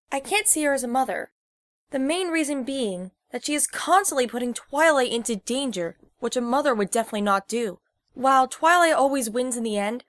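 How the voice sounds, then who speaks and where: A woman's voice: on the high side, a bit bright, no room sound, studio quality. A woman giving scripted opinion commentary as a guest, in articulate, formally phrased sentences.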